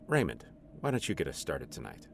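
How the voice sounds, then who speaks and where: A man playing a calm, welcoming addiction group counselor in a radio drama, with a gravelly voice. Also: very deep voice, fairly quick, slight background noise, exaggerated voice.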